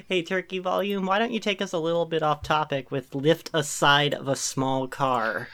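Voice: mid-range voice, moderate pace, a bit bright. A podcast host in casual comedic chat, laughing and reacting playfully while reading listener posts.

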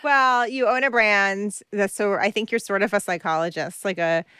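A woman's voice: medium pitch, moderate pace, bright, forceful tone, clear, resonant tone, no echo, studio quality. A woman hosting an interview, asking enthusiastic, admiring questions in a casual conversational way. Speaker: interview host.

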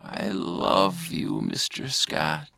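A man's voice: low voice, sing-song, drawn-out words, vocal fry, sharp tone, full character voice. Man doing a whispery, wavering male alien. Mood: pleading. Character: needy broad-faced male alien, clingy and anxious.

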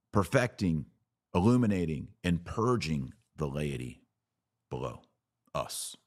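A man with a very low, deep voice gives religious instruction in a close, dry recording. He is deliberate and didactic, setting phrases apart with emphatic pauses as he lectures like a podcast teacher. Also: a little mellow, dry recording.

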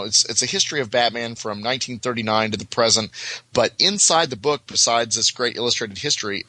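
A middle-aged American man chatting casually as a podcast guest, halting often with filler words and restarts. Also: on the low side, sharp tone, no echo. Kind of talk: casual panel chat.

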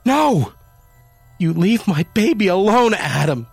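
A man doing a shrill, desperate young woman. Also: wildly swooping pitch, takes it slowly, no room sound, soft background music, exaggerated voice. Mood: desperate shrieking. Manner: shrieking, pleading in a raised falsetto.

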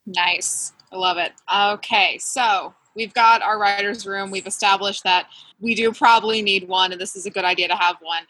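A woman's voice: medium pitch, steady tone, normal speed, bright, forceful tone, no echo, low-quality recording. A woman hosting a panel webinar as moderator, upbeat and playful.

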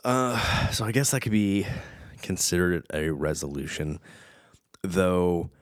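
Casual male talker, very low voice, unhurried delivery, dry close sound, reflective and rambling. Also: lively intonation, no echo. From United States. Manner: rambling, reflective, many fillers and restarts. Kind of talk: casual chat.

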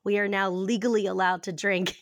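A woman in her thirties co-hosting a podcast, raspy and a little hoarse from a cough, chatting casually and laughing often as she rambles through stories. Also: mid-range voice, little pitch movement, a little fast, no room sound, clean studio sound. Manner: giggly, rambling storyteller who laughs often. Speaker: podcast co-host. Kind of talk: casual chat.

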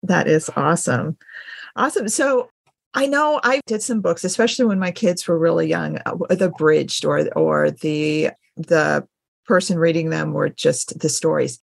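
A middle-aged woman hosts a casual interview. Her voice is on the low side, with a husky edge and plenty of vocal fry. She asks questions in a relaxed chatting style. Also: moderate pace, no room sound, clean studio sound. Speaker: podcast host, interviewer.

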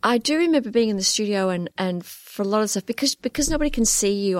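A woman speaks with a slight Northern Irish accent in a warm, comforting voice that sits fairly low. She chats animatedly as an interview guest and laughs easily. The sound is close and dry. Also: no room sound. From Northern Ireland. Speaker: voice actor guest. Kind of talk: casual interview chat.

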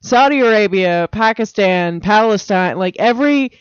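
A woman speaks as a podcast co-host, moving between reading aloud and casual banter. Her manner is matter-of-fact and questioning, quick to correct. The audio is dry and close, a little dull. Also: mid-range voice, lively intonation, clean tone, no echo. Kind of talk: casual banter and reading odd news items.

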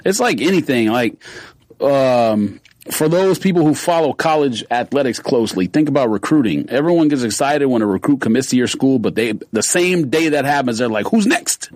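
A man co-hosting a podcast in casual chat, blunt and lively. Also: medium pitch, moderate pace, no echo, rough recording. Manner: blunt, lively, short answers. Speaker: podcast co-host.

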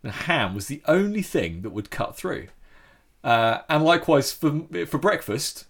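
A man's voice: fairly high pitch, sing-song, unhurried. A man telling a story in casual chat, with frequent restarts.

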